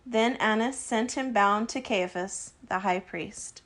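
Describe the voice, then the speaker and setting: A woman's voice: medium pitch, slow pace, a bit bright. A woman reading a Gospel narrative aloud from a script as a church reader.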